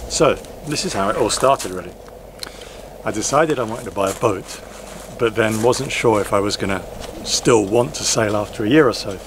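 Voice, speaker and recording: A man in his forties talking casually to camera outdoors at a boatyard, relaxed and reflective, with frequent fillers and restarts. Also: lowish voice, moderate pace, over music. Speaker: sailing vlogger. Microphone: camera-mounted mic, handheld selfie framing.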